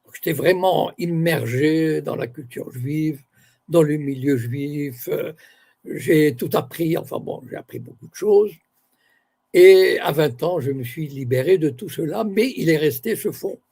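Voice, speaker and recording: An older man from Morocco, an author and academic, speaking as an interview guest in a measured way, often pausing to search for words and correcting himself. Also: on the high side, gravelly, dark tone, slightly rough. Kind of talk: interview guest, reflective talk.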